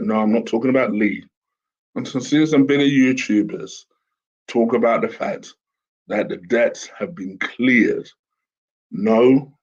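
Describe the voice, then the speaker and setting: A man, hoarse from a sore throat, hosting a casual solo commentary show in a chatty, rambling way. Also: on the low side, a little mellow. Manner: chatty, rambling with fillers and restarts. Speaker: host. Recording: dull lo-fi home recording with fan.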